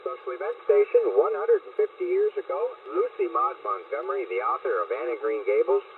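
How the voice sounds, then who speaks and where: A man's voice: sits high, huge pitch swings, fairly quick. A man working as a radio operator, chatting in a folksy, rambling way over a shortwave link. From Canada, Prince Edward Island. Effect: heard over shortwave radio. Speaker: amateur radio operator running a special event station. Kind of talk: chatty on-air radio exchanges. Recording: narrow tinny radio signal, static noise, distant.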